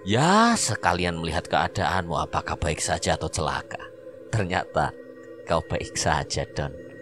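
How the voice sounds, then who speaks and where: A man's voice: deep voice, sing-song, a little slow, fairly bright tone, soft background music, full character voice. A man voicing a jovial middle-aged male rickshaw driver with a Javanese accent, joking and chummy.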